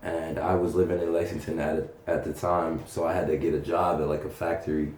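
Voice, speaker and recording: Super deep mellow young guy, halting slangy swearing, roomy echo w faint noise. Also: little pitch movement. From North Carolina, United States. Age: young adult. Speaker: punk rapper being interviewed. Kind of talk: casual chat.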